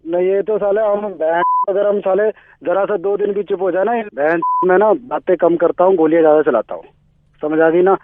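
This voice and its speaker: A man's voice: sits high, normal speed, close to an everyday voice. A man playing a swaggering, threatening Delhi tough guy on a comic phone call, blustering and slangy. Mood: boastful. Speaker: radio prank caller. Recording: tinny narrow phone line, dry.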